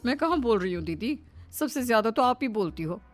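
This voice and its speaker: A woman's voice: a little high, sing-song, brisk, faint music behind. A woman playing scolding, sarcastic women of a squabbling household in a cartoon family story. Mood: taunting. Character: bickering, sarcastic daughters-in-law and scolding mother-in-law.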